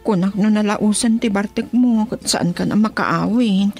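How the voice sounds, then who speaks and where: A woman's voice: medium pitch, moderate pace, over loud music, close to an everyday voice. A woman acting a worried, devoted young wife in an Ilocano radio drama, with an Ilocano accent. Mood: gentle, slightly chiding.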